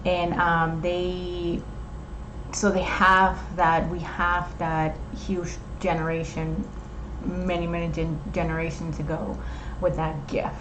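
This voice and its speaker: A young woman from Puerto Rico, with a Puerto Rican accent and Spanish as her first language, explaining things in a measured way. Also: on the low side, little pitch movement, noisy background. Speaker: host. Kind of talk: casual chat.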